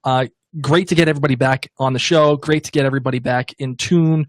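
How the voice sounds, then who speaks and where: A man's voice: mid-range voice, steady tone, fast talker, dry recording, clean studio sound. A man co-hosting a TV recap podcast, enthusiastic and rambling, laughing easily. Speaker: podcast co-host. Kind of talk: casual TV recap chat.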